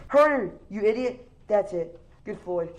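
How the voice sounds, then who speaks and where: A woman playing a bossy, gloating mad scientist villain in a radio play, scornful and quick to bark. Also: medium pitch, animated, lightly acted. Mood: menacing. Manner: scornful, barking insults at assistant. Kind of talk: superhero parody radio play acting. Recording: faint background noise.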